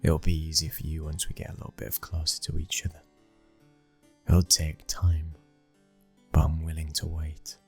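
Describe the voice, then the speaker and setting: Very deep, husky British mafia heir, acted by a man. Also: completely flat delivery, moderate pace, darkish tone, soft background music, exaggerated voice. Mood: guarded, frank. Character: brooding, dominant young mafia boss's son, possessive and menacing. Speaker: ASMR roleplay voice actor. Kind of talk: intimate ASMR boyfriend roleplay acting.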